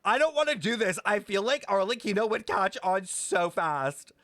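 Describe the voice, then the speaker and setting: A man's voice: high-pitched, very animated, normal speed, background noise. A man streaming a game with casual live commentary, blunt, sweary and dramatic in a sassy way. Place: close, dry microphone on a live stream.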